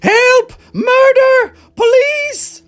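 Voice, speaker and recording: A shrill, frantic storybook mother. Her voice is extremely high and piercing, and she screams and scolds with indignation over faint music. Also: very slow, full character voice. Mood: panicked shrieking. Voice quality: piercing shriek.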